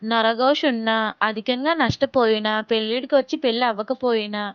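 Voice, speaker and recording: Woman, customer care caller, polite patient pitch, dry dull sound. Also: fairly even intonation, fairly quick, clear, resonant tone, no echo. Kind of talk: scripted sales phone call.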